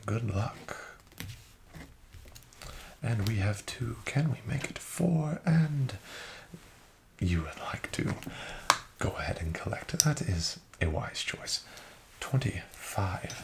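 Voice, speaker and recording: A soft-spoken man doing an ASMR roleplay as a friendly, courteous casino dealer, speaking in a formal, measured and encouraging way. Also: lowish voice, gravelly, heavy background noise. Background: card shuffling and clicks.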